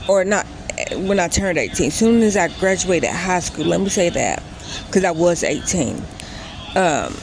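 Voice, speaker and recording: A woman's voice: lowish voice, gravelly, background noise. A woman in her late thirties telling a personal story in a casual vlog, rambling and often stopping to correct herself. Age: 30s. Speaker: vlogger.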